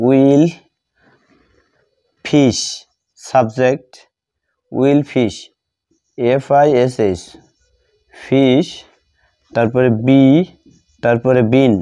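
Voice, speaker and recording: Bengali guy teaching grammar, really slow n relaxed, repeats stuff word by word like hes writing it out. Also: mid-range voice, muffled recording. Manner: halting, repetitive, word-by-word delivery. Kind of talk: step-by-step grammar lesson, dictating as writing.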